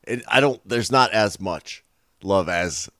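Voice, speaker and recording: Hoarse, raspy man in low, dry sound. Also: slow, fairly bright tone, no room sound. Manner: knowledgeable, explanatory, low-key. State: hoarse today. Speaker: co-host. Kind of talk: casual chat.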